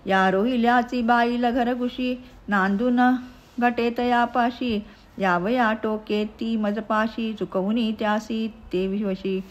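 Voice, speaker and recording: A woman reciting devotional narrative verse in a measured way. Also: a little high, fairly even intonation.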